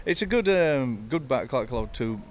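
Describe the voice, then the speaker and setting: A man's voice: mid-range voice, animated, normal speed, a little hiss or hum. A middle-aged man from the United Kingdom, a musician chatting easygoingly on a ship's deck with many fillers. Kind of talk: casual interview. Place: outdoor deck of a cruise ship.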